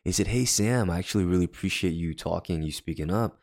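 A deep-voiced man, a podcast co-host and worship leader, telling a personal story in casual chat, rambling with many fillers and restarts. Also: fast, gravelly, darkish tone.

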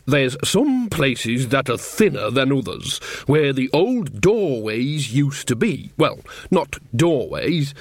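A man voicing an evasive, exasperated old country mother, rambling and halting in an audiobook. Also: medium pitch, no echo, clean studio sound. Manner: vague, halting, rustic grammar. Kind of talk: audiobook character acting.

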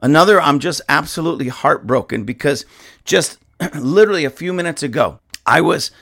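Indignant pastor, gravelly dusty voice. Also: male voice, a little high, expressive, brisk, a bit bright. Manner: indignant, repetitive, emphatic. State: dusty, hoarse throat. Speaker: pastor and podcast host. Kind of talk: impassioned podcast commentary.

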